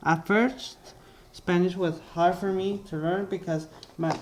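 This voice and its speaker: Slow, halting young man narrating. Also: high voice, steady tone, slight background noise. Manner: halting, restarts and corrects himself often. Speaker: vlogger. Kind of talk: casual narration over drawings.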